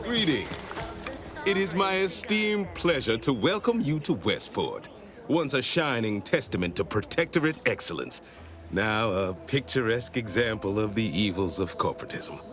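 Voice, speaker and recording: An actor playing an effusive, pompous official in a video game, speaking with florid, formal, grandiose politeness. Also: male voice, medium pitch, big pitch swings, normal speed, a bit bright, soft background music. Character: effusive, pompous male official welcoming a stranger. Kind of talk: theatrical video game character dialogue.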